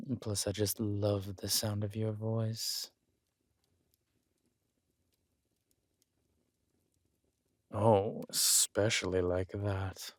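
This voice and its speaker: Villain husband , male actor: scheming noble usurper, slow aristocratic delivery, breathy asides, dry intimate roleplay sound. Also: on the low side, no echo. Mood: tender, seductive. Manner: suave, aristocratic, deliberate, with breathy near-whispered endearments. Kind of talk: intimate roleplay audio drama acting.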